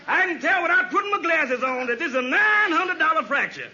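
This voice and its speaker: An actor playing a pompous, excitable shady doctor who stammers in dialect comedy on a 1950s radio sitcom. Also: male voice, very high-pitched, brisk, some chatter behind, heavily acted. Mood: booming entrance. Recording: muffled, noisy old radio with audience.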